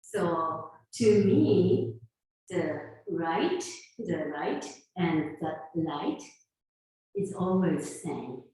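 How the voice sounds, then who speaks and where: A woman in her fifties who grew up in Japan and speaks English with a Japanese accent. She talks in an unhurried, halting way, with soft laughs between thoughts, as a guest in an interview. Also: on the low side, expressive. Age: 50s. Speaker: guest, sound healer. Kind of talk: conversational interview. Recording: roomy echo, far from mic.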